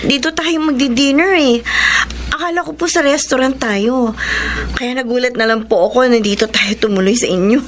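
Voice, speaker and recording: A woman's voice: high voice, moderate pace, lightly acted. A woman playing a polite, hesitant young employee soon to be married in a radio drama. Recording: noisy old radio recording.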